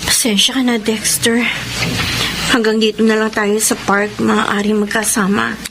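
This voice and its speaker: An actress playing a devoted young daughter in love, plaintive and earnest, in a Filipino radio drama. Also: female voice, fairly high pitch, little pitch movement, a little slow, loud music behind the voice. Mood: sad, resigned. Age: young adult. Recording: noisy radio drama recording.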